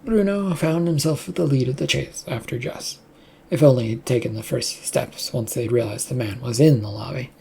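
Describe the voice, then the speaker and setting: A narrator of unclear sex reading a scripted story aloud in a husky, creaky voice. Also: mid-range voice, moderate pace, dark tone.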